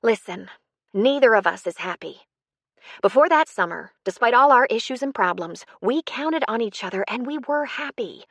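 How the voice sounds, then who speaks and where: A woman's voice: a little high, animated, moderate pace, a bit bright, no room sound, clean studio sound, voice pushed up. A woman voicing a frank, consoling older woman with an earnest, pleading, emphatic delivery in an audiobook story.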